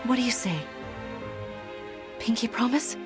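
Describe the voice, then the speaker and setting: An actress playing a gentle, regal mother queen in a video game, speaking in a tender, formal, measured way. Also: fairly high pitch, fairly even intonation, music in the background, close to an everyday voice. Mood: fond, playful. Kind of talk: scripted video game voice acting.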